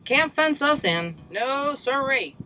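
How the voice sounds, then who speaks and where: A woman's voice: sits high, animated, takes it slowly, a little hiss or hum, rough recording. A woman singer-songwriter, chatting and singing her own songs outdoors in a park while playing guitar. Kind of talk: singing original songs with chat. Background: loud acoustic guitar.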